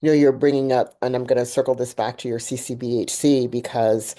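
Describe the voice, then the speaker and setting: Podcast host, woman, deep and mellow voice, candid and animated. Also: a little fast. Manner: candid, animated, laughs while telling a story. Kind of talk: conversational interview with personal storytelling.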